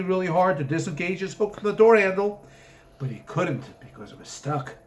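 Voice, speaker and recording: A man reading a story aloud as its author, narrating with theatrical, emphatic comic drama. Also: on the high side.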